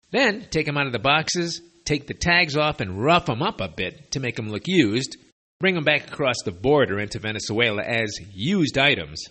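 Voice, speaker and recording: A man's voice: mid-range voice, pushed and bright, breathy edge. Sardonic man with a slight lisp. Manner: sardonic, digressive, conversational. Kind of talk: opinionated spoken commentary. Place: away from his usual studio. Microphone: makeshift borrowed equipment.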